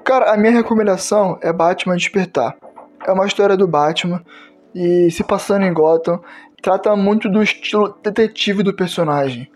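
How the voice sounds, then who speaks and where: A man's voice: high voice, normal speed, darkish tone. A Brazilian-accented man giving casual recommendations as a podcast panelist.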